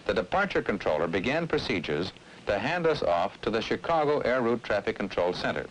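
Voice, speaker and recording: Patient airline guy explaining stuff, low voice that moves around a lot, old film sound. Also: big pitch swings, a little fast, low-quality recording. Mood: instructive. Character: patient airline man explaining air traffic control. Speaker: aviation professional explaining a flight to a passenger. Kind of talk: scripted explanatory narration in an educational film. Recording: old film soundtrack, faint background noise.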